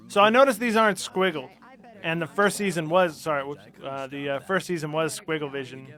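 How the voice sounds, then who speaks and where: A man's voice: a little high, brisk, a bit bright. A man hosting a casual commentary chat, asking questions and teasing the others with dry jokes. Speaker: interviewer on a commentary track.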